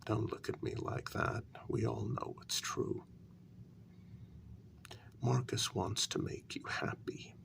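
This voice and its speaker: A man as a creaky, whispering royal consort. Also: fairly low pitch, fairly even intonation, fairly bright tone, full character voice. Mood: tender, resigned. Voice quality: breathy, creaky. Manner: slow, deliberate, half-whispered, with lingering pauses. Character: aging human consort of a vampire queen, devoted, reasoning. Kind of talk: soft-spoken ASMR role-play.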